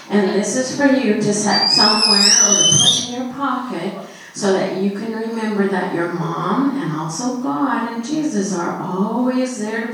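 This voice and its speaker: Slow-talking woman in an echoey church. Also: medium pitch. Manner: simple, encouraging talk to young children. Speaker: children's message leader at church. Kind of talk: children's sermon, asking children questions. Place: large echoey church room. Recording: echoey church, distant mic, audience noise.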